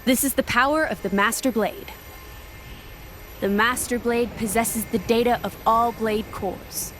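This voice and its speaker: A woman's voice: a little high, fairly even intonation, a little slow, a bit bright. An actress playing a proud, blunt young woman warrior with hidden hurt in a video game cutscene. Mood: grave. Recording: music behind the voice.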